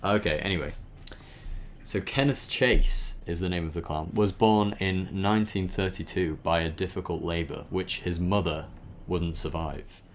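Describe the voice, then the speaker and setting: A man with a very deep voice reads a story aloud in a loose, dramatic way. He trips on words here and there and drops in offhand comments. There is some background noise on the recording. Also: steady tone, moderate pace. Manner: stumbles over words and breaks off to comment. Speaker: host reading a backstory aloud. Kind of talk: informal dramatic reading with chatty asides.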